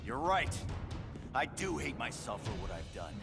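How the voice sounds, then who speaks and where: A man's voice: mid-range voice, sing-song, normal speed, gravelly. A man playing a cocky, strong-willed superhero in a video game, wry and defiant. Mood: defiant resolve. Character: cocky, strong-willed male space superhero seeking redemption. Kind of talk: video game cutscene acting. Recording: loud game music behind.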